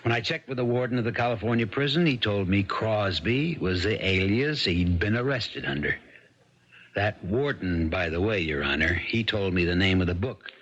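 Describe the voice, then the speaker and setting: An actor playing a firm, compassionate sheriff with a husky voice in a dull-sounding 1970s radio drama. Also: male voice, fairly low pitch, expressive, normal speed, fairly bright tone. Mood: earnest testimony. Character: steady small-town sheriff, firm, lawful, compassionate.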